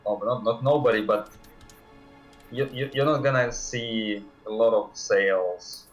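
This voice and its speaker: A man, a game developer, chatting casually on a livestream in a halting, self-correcting way. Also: on the low side, steady tone, soft background music, poor audio.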